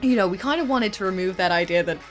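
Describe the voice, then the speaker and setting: A woman's voice: mid-range voice, lively intonation, quick pace. A woman answering viewer questions as a co-creator, in a casual, chatty and enthusiastic way. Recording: voice over background music. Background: instrumental music.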